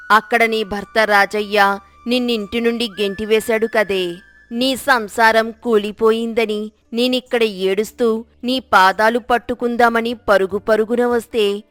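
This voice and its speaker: A woman's voice: medium pitch, no echo, close to an everyday voice. A woman voicing a remorseful, fretful older village aunt in a storybook tale, anxious and beseeching. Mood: astonished scolding. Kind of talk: storybook character dialogue.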